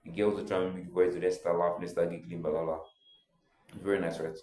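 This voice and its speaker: A man's voice: sits low, little pitch movement, quick pace, mellow, reverberant. A young adult man, telling a personal story in a casual, rambling way full of filler words. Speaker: vlogger.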